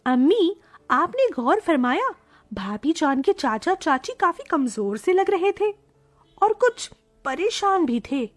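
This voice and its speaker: A high, closely recorded actress as a caring young woman in a cartoon family drama. She speaks earnestly and expressively, with music underneath. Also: big pitch swings, takes it slowly, no echo, heavily acted. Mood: concerned. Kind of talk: Hindi cartoon story voice acting.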